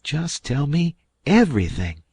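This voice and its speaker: A man voicing an excitable, motherly farm wife who fusses anxiously in a high, fluttering voice. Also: unhurried, breathy edge, no echo, full character voice. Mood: eager.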